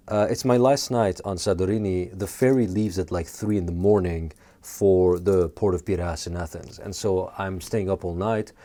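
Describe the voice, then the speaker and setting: Male host from Quebec, French first language, very low mellow voice, earnest measured talk to camera. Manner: earnest and measured, with frequent fillers. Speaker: video essay host. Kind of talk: personal monologue to camera.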